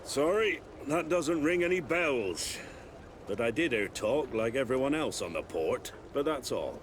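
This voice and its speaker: A man voicing a gossipy, forthcoming port local in a video game, conversational and expressive. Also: medium pitch, a little slow, background noise, close to an everyday voice. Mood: helpful.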